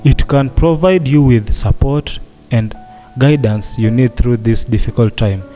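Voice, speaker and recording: A man playing a patient, concerned hospital doctor in a radio drama, speaking in a measured, reassuring way. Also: mid-range voice, mellow, soft background music, close to an everyday voice.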